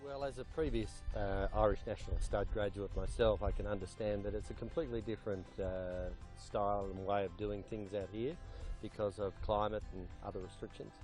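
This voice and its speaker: Low, mellow-voiced man explaining. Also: steady tone. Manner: measured, explanatory with fillers. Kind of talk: interview answers. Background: background music.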